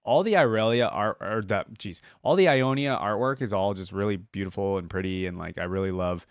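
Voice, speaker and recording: Man, low pitch, informal reaction host, exclamatory, thinking aloud, dry close recording. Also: fairly quick, clear, resonant tone, no room sound. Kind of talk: casual reaction commentary.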